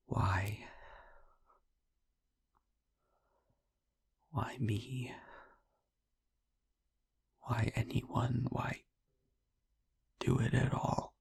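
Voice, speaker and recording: A man playing a young vampire boyfriend in an intimate audio roleplay, haunted, tender and wry, speaking in a hushed, breathy, husky voice with long halting pauses. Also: on the low side, dry recording, full character voice.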